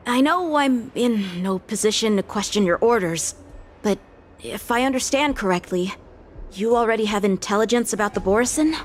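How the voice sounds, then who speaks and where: A woman's voice: medium pitch, expressive, normal speed, a little hiss or hum, lightly acted. A woman voicing an earnest, bold young swordswoman in a video game, a forthright subordinate to her general. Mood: respectful, questioning. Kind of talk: dramatic video game voice acting.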